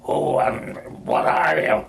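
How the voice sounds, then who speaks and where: A man voicing an agitated, sentimental old man in a storybook reading, halting and full of exclamation. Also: mid-range voice, gravelly, a little hiss or hum. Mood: timid, anxious.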